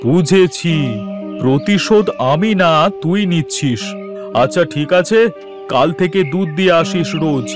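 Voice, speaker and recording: A man acts out a village landlord's errand man for a cartoon, in a notably high voice. He wheedles and haggles his way along, with music playing behind him. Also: unhurried, natural voice. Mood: haggling, protesting. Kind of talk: Bengali moral cartoon voice acting.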